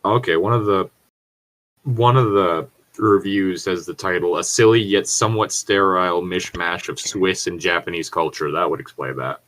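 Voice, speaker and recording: A man with a fairly low voice co-hosts a casual podcast. He reads internet horror stories aloud and chats around them, drifting off track easily and cursing freely. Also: normal speed, fairly bright tone. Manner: easily sidetracked, freely profane. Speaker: podcast co-host.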